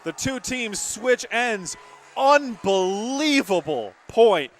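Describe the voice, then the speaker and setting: High-pitched young male volleyball play-by-play announcer. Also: animated, slow pace, a little hiss or hum. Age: young adult. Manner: emphatic, dramatic calls. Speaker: play-by-play sportscaster. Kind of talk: live volleyball commentary. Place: courtside in a gymnasium.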